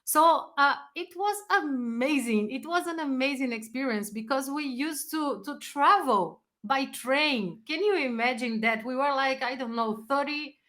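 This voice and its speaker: A Brazilian woman, a native Portuguese speaker, telling personal stories in non-native English in an expressive, casual interview manner. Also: high voice, measured pace, few pauses. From Brazil, raised partly in Chile. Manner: expressive, animated storyteller who acts out moments in non-native English. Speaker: musician and music teacher being interviewed.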